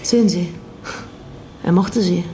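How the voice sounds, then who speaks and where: An actress playing a persistent woman seeking answers, with a mellow voice, in radio drama dialogue. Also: on the low side, unhurried, a little hiss or hum, natural voice. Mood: probing.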